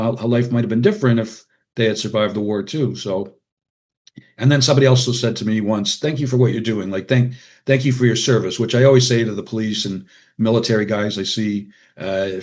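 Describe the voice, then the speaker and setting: A man's voice: lowish voice, fairly quick, darkish tone. An older man with an American accent, a podcast guest reminiscing casually and often quoting people in short anecdotes. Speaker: podcast guest, retired radiologist and author.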